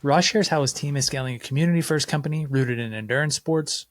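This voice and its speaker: A man hosting a podcast, reading a scripted intro then asking relaxed, friendly questions. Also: fairly high pitch, fast, faint music behind. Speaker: podcast host.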